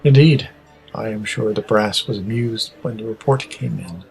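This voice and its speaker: A man's voice: on the low side, normal speed, creaky, darkish tone, faint music behind, rough recording. A man playing a dry, formal, cerebral starship officer in a sci-fi audio drama. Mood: dryly amused.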